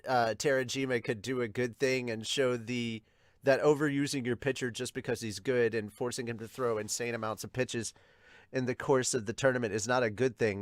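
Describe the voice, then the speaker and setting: Man, average pitch, casual comment reading, hesitant delivery, dry sound. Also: no room sound. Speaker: podcast host reading and discussing viewer comments. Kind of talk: casual reaction chat, reading comments aloud.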